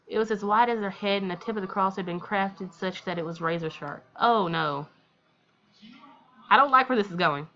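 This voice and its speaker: A woman's voice: mid-range voice, moderate pace, fairly bright tone, lo-fi sound. A woman reading a visual novel aloud as a let's player, with informal, colloquial reactions along the way.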